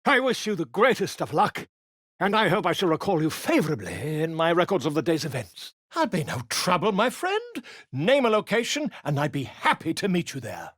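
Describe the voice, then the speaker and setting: A pompous male bard for a fantasy game, all theatrical flourish and wordy grandeur. His husky voice leaps up and down expressively, and the recording is dry and close. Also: fairly high pitch, sing-song, moderate pace, a bit bright, dry recording. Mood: courteous, eager. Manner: florid, grandiose, wordy delivery. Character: pompous, flamboyant male bard and self-important author. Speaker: video game character actor.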